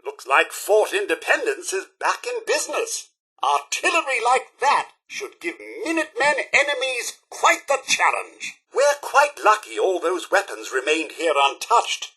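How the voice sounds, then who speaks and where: An actor playing a polite, fussy robot butler in a video game, with a British accent and a proper, theatrical delivery. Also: male voice, high voice, big pitch swings, moderate pace, bright, forceful tone, breathy edge, heavily acted. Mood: pleased. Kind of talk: scripted video game companion lines.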